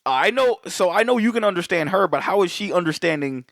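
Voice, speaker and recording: A young man talks loosely on a podcast, circling back and restarting his thoughts. His voice sits a touch high, and the sound is dry and close with no room. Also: lively intonation, fairly quick, creaky, fairly bright tone, no echo. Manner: rambling, many restarts and fillers. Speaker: podcast co-host. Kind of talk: casual chat.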